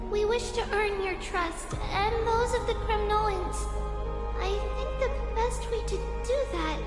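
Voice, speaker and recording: A woman voicing a gentle, earnest young girl in a fantasy game, speaking politely and formally as a sincere peacemaker. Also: extremely high pitch. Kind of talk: scripted fantasy game voice acting. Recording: echoey hall reverb, music behind.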